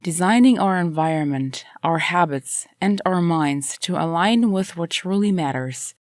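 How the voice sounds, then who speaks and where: A woman reading scripted nonfiction as an audiobook narrator, in measured, clearly phrased delivery. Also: lowish voice, animated, dry recording, clean studio sound.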